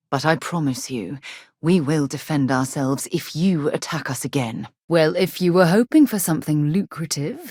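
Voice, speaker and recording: An actress playing a principled, earnest ex-military space explorer in a video game, articulate and expressive. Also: female voice, sits low, slightly rough, no echo, clean studio sound, full character voice. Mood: earnest, sincere. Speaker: companion character in a space game.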